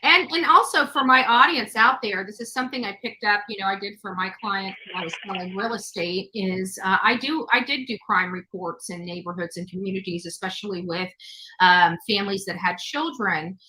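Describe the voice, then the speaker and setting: A woman's voice: mid-range voice, normal speed, pushed and bright, rough recording. A woman hosting a talk show, speaking conversationally in an emphatic, advising way to a guest and audience.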